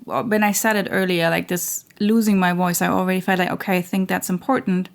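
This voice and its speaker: A woman hosting her own spiritual channel, talking in a slow, searching way with many fillers and restarts while losing her voice. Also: on the low side, level tone, vocal fry. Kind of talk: reflective spiritual monologue.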